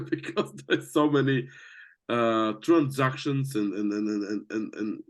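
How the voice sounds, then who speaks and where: Casting: young adult male, Latvian accent, native Latvian and Russian speaker, average-pitched voice, hesitant conversational delivery. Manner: hesitant, searching for words. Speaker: business coach. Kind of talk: casual conversation.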